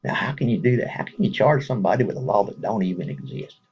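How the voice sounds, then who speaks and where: A man's voice: on the low side, very animated, fast, darkish tone, slightly rough, muffled recording. A man with a Texas accent speaking casually to viewers in a folksy, rambling way, chuckling between thoughts.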